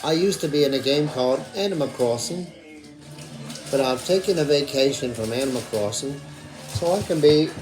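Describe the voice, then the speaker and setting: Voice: medium pitch, lively intonation, moderate pace, music in the background, close to an everyday voice, low-quality recording. An amateur puppeteer voicing a genial owl introducing himself in a puppet show. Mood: genial, self-introducing. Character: genial owl, retired video game character.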